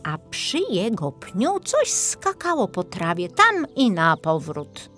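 A woman reads a children's fairy tale. Her delivery is unhurried and very melodic, in a medium-pitched voice, with faint music underneath. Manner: expressive storytelling. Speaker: audiobook narrator.

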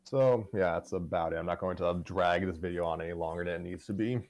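A man in his twenties chatting casually as a show host giving an update, halting and wry, often restarting his sentences. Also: deep voice, a little mellow. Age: 20s. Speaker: host of a story-reading voice show. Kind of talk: casual chat, project update.

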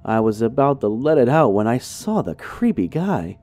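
Male narrator for horror stories: very lively pitch, unhurried, close dry sound. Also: no room sound. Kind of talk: dramatic scripted narration.

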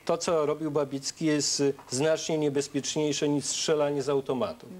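A middle-aged man, a political scientist on a TV studio panel, explaining points in a measured way. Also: a little high, little pitch movement, a little mellow.

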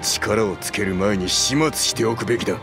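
An actor playing a gruff middle-aged general in a video game, distrustful and firm, with rough, blunt speech. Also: male voice, on the low side, normal speed, music in the background. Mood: blunt, resolute. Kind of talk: Japanese video game cutscene acting.

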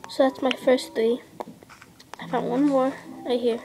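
High-voiced young girl rambling over gameplay. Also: little pitch movement, measured pace, gravelly, dark tone, soft background music, low-quality recording. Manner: rambling, lots of "like" fillers, drops to half-whispers. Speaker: gameplay vlogger. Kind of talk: casual gameplay commentary. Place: indoors at a table. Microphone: device camera filming a handheld screen.

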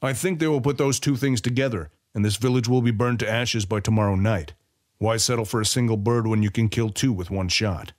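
Coolly vengeful male villain for fantasy audiobook, acted by a man: lowish pitch, taunting, deliberate, cruel and self-satisfied. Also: dry recording, studio quality, lightly acted.

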